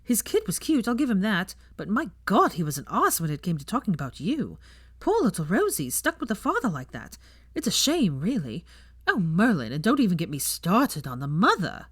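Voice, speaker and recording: A woman's voice: mid-range voice, wildly swooping pitch, moderate pace, husky, no room sound, studio quality. A woman voicing a blunt, cheerful young witch teacher in a story reading, breezy and prone to casual swearing. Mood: chatty, upbeat.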